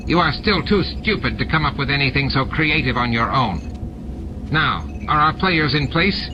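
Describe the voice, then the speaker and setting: Old alien tyrant, played by a male reader: high-pitched, imperious, scolding his underlings in an audiobook, over music. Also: lively intonation, normal speed, lo-fi sound, noisy background, lightly acted. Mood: smug, scornful. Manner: scolding, domineering.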